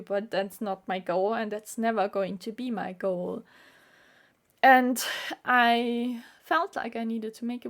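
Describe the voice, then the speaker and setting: Slow, earnest woman. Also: on the high side. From Austria. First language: German. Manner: earnest, halting with frequent fillers. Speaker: vlogger. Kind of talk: casual talk to camera.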